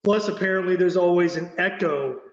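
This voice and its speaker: A man's voice: sits high, a little fast. A man from Oklahoma chatting on a livestream panel, blunt and full of stammering restarts. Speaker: panel guest. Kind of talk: casual livestream chat. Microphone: online voice call. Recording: echoey, muffled online call audio.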